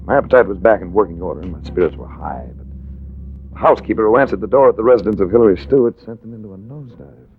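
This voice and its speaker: A man's voice: fairly low pitch, lively intonation, very fast. A man playing a wry, self-assured hardboiled investigator in 1940s radio drama style, narrating and talking. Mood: wry narration. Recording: tinny, noisy vintage radio recording.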